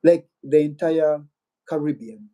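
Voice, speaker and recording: A man's voice: a little high, a little slow, mellow. A man with a Ugandan accent hosting a casual live-stream Q&A, rambling and musing aloud as he reads out viewer comments. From Western Uganda.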